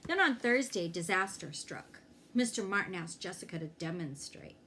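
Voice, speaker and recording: A woman reading a children's story aloud in an expressive way, pausing to check in with young listeners. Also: fairly low pitch, slight background noise. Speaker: fire inspector reading a children's book aloud.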